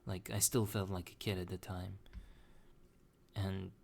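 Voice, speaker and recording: A young man talking slowly and hesitantly in a personal confessional monologue, with many restarts. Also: deep voice, flat delivery, gravelly, darkish tone. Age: young adult. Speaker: vlogger.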